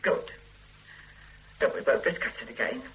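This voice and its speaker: An actress in a radio play voicing a bitter, resentful older invalid wife who speaks in a cold, cutting, controlled way. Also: female voice, extremely low pitch, sing-song, normal speed, a little hiss or hum, rough recording.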